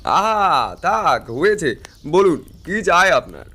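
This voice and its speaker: An actor playing a seasoned, middle-aged tantric astrologer, reminiscing in a creaky, reflective way as in a dramatised audiobook. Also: male voice, a little high, very animated, slow pace, bright, forceful tone, long reverb tail, full character voice. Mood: courteous. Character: Taranath, seasoned Bengali tantric astrologer, easygoing storyteller.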